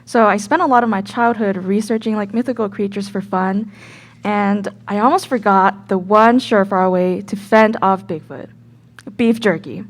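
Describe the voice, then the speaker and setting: A young woman playing an easily scared myth enthusiast in a radio play. She speaks casually and haltingly, earnest, at a medium pitch. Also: steady tone, close to an everyday voice. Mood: earnest recounting. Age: young adult. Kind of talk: scripted radio play storytelling.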